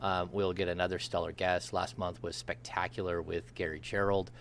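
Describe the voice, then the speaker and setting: A man's voice: low-pitched, little pitch movement, moderate pace, fairly bright tone, a little hiss or hum. A man hosting a casual sports podcast, rambling with lots of fillers in a friendly way. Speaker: podcast host.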